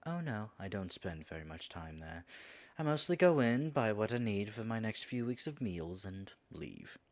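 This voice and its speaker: A man's voice: fairly low pitch, lively intonation, moderate pace. A man voicing a flustered, flirtatious dragon in human form in an audio roleplay, stammering nervously and muttering asides to himself. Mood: shy, musing. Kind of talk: audio roleplay addressed to the listener.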